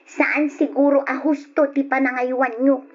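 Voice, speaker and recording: A woman's voice: high-pitched, little pitch movement, moderate pace, faint music behind, natural voice. A woman playing a sulky, resentful young daughter in an old radio drama, complaining petulantly. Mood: irritable, ailing. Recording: dull old radio recording.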